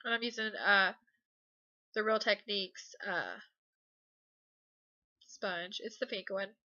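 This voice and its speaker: A woman's voice: medium pitch, slow, no room sound, highs cut off. A young woman with a stuffy cold voice, chatting casually and a bit hesitantly through a makeup tutorial voice-over. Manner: relaxed, hesitant, many ums and uhs. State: congested from a cold. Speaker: beauty vlogger.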